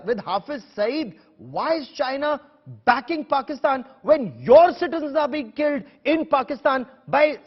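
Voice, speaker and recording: A man's voice: very high-pitched, breathy edge, highs cut off. A man with an Indian accent hosting a TV news debate, insistent and confrontational, with emphatic repetition. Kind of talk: combative news debate moderating.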